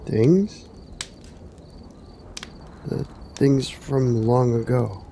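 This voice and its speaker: Man playing a slow, gravelly, broken warrior. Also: medium pitch, mellow, slight background noise. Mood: weary, grave. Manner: halting, grave. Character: broken, haunted brave warrior, solemn and weary. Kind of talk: radio drama acting.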